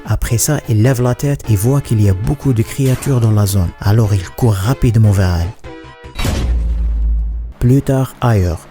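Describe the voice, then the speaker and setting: A man delivering scripted explanatory narration in the manner of a horror video recap. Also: lowish voice.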